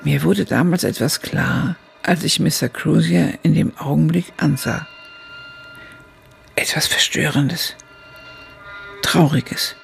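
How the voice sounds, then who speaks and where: An actress playing an elderly woman who reflectively recalls her youth, narrating a literary radio drama in a gravelly, raspy voice. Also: low-pitched, takes it slowly, long pauses, heavily acted. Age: older.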